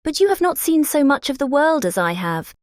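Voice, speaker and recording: A woman playing a pushy, self-important married gentlewoman in an audiobook drama, with a British accent and a gushing, patronising manner. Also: sits high, very animated, fairly quick, no echo, clean studio sound. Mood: officious, boastful. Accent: British English. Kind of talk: dramatized classic novel audiobook.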